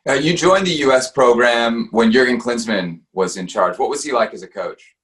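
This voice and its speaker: A man's voice: mid-range voice, normal speed, fairly bright tone. A man working as a sports interviewer, putting questions in a conversational style.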